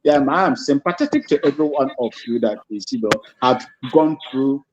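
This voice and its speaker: A male caller speaking gravely, stammering at times. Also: mid-range voice, lively intonation, brisk, lo-fi sound, background noise. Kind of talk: casual call-in chat.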